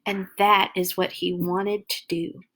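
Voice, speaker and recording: A woman hosting a read-along, reading a children's picture book aloud with animated, exaggerated emphasis for young listeners. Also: mid-range voice, measured pace.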